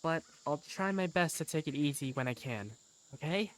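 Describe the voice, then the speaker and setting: A woman's voice: sits low, animated, normal speed. A woman voicing a sheepish, apologetic young student in a hesitant, awkward way for a fan dub of a visual novel game.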